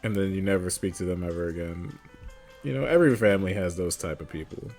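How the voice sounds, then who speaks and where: A man's voice: sits low, fast, darkish tone, faint music behind. A man co-hosting a podcast, chatting in a laid-back, joking way. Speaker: podcast co-host. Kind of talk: casual chat.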